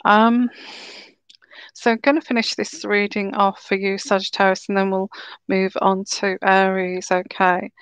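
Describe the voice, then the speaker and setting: Woman, tarot reader, slightly croaky, reassuring, dry close recording. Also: mid-range voice, level tone, no echo, rough recording. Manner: slow, soothing, reassuring. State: sore throat, slightly croaky. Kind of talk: live tarot reading.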